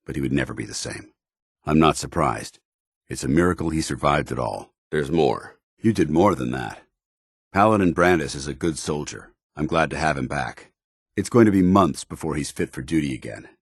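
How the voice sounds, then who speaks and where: An actor playing a stern, honorable soldier in a video game, with a gruff voice and a clipped, formal, earnest delivery. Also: male voice, rumbling low, no echo, clean studio sound, heavily acted. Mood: grave, regretful. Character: stern, duty-bound military paladin, gruff and honorable adult man. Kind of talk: video game companion dialogue.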